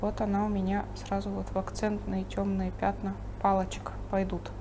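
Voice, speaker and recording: A woman artist teaching, thinking aloud calmly as she paints a still life at her table. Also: mid-range voice, moderate pace, noisy background. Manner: thinking aloud while painting, calm and explanatory. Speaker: painting instructor. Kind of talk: painting demonstration with commentary. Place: studio table, overhead camera on the painting.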